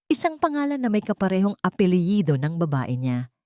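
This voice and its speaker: Low-voiced woman narrating a drama, close and dry. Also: big pitch swings, a little fast, warm tone, no room sound. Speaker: story narrator. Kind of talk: scripted first-person dramatic narration.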